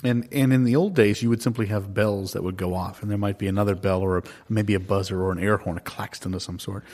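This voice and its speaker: Low-ish voiced guy doing improv banter, halting and musing, deadpan mock serious. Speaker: improv comedy performer.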